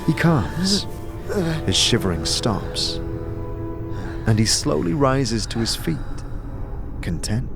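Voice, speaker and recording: A man narrating a scripted fantasy audio drama in a measured, theatrical way, as a game master would. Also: mid-range voice, big pitch swings, vocal fry, music in the background.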